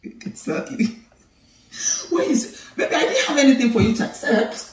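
Nigerian woman, 30s, animated and teasing, roomy far-off living-room sound. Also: fairly high pitch, normal speed. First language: Igbo. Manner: animated, laughing, playful and teasing. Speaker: co-host of a couple's channel. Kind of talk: casual chat to camera. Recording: roomy, somewhat distant sound.

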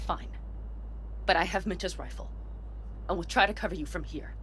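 A woman's voice: lowish voice, normal speed, a little hiss or hum, close to an everyday voice. A woman playing a fierce, loyal, stubborn young nomad weighed down by guilt in a video game drama. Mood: determined.

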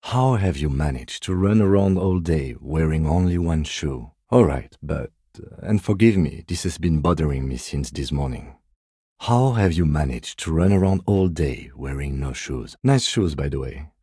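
A reserved, middle-aged male police detective from a video game. His voice is extremely deep and soft-edged, recorded dry and close. He speaks in measured, formal phrases with understated, principled restraint. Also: animated, slightly rough, no echo, full character voice. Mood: dryly polite. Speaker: voice actor.

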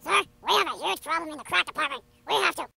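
Irritable cartoon duck: squawky rasp, piercingly bright, extremely high, bossy. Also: male voice, quick pace, no echo, heavily acted. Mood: bossy, irritated. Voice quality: squawky, garbled, quacking rasp. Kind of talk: comic parody dub.

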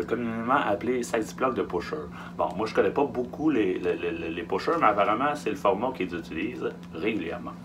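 Quebec man explaining things emphatically, faint hum. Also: lowish voice. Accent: Quebec French. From Quebec, Canada. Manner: emphatic, repeats key words, hesitant pauses. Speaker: film production logistics technician giving tips. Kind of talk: informal instructional explanation. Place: small wooden-lined storage truck interior. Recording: faint hum and background noise.

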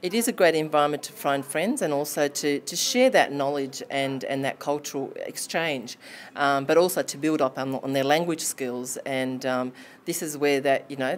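A woman's voice: deep voice, a little hiss or hum. A woman, answering interview questions in a classroom with many ums and restarts. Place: classroom indoors. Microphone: handheld lapel mic held near chest.

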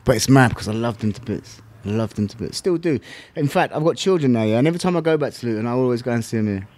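A middle-aged man with a southern English accent, telling stories casually as an interview guest, in animated, slangy, swear-laden talk that acts out remembered exchanges. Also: medium pitch, racing. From England. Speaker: interview guest, former kickboxing champion.

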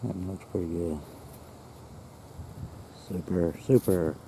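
Low-voiced man, folksy and plainspoken. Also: slow, warm tone, slight background noise, poor audio. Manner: plainspoken, folksy, musing in short phrases. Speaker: artifact hunter filming his finds. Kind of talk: casual first-person show-and-tell commentary. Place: outdoors, handheld camera close to the ground. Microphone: handheld camera mic. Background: radio music playing in the background.

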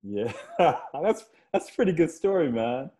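Joking American man interviewer, slightly high-pitched. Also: big pitch swings, normal speed, warm tone. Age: middle-aged. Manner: joking, teasing, affirming. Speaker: interviewer, comic creator. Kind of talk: casual interview chat. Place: living room or office, video call. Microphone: wired earphones on a video call.

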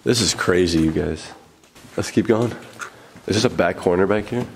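Deep-voiced young man exploring a mine. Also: moderate pace, creaky, a little hiss or hum. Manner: excited, joking, narrating as he explores. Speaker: vlogger. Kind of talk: casual exploring vlog. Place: inside an abandoned mine.

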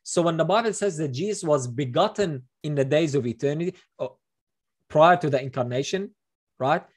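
A man's voice: on the high side, moderate pace, slightly rough. Emphatic middle-aged man posing questions. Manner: emphatic, building points with rhetorical questions. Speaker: host. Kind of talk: explaining scripture on a video call. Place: small room, indoors. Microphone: webcam on video call.

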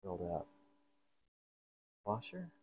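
Man, low relaxed voice, dark tone, casual hobbyist narration, muttered fragments while working. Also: extremely slow. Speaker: hobbyist repair host. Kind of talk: casual hands-on repair narration. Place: indoor workshop at a workbench.

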